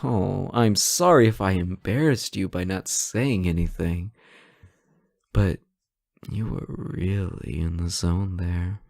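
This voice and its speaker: Unhurried young actor as a smitten superhero. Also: male voice, low-pitched, gravelly, darkish tone, no room sound, clean studio sound, exaggerated voice. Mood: playful, gentle. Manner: playful, stammering when shy. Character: teasing, flustered male superhero smitten with his nemesis. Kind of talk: romantic roleplay audio acting, addressed to listener.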